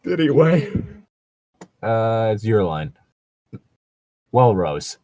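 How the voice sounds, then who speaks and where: A man's voice: fairly low pitch, sing-song, extremely slow, dark tone, no echo. A man co-hosting a casual, irreverent read-aloud of fan fiction, bantering crudely and swearing freely between lines. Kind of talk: casual banter and mock dramatic reading.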